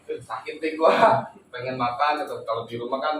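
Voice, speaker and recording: A man's voice: medium pitch, steady tone, brisk, a bit bright. A young man, chatting casually as a panelist in a joking, self-defensive way. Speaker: talk show panelist. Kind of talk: casual chat. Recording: distant mic, very echoey, noisy ambience.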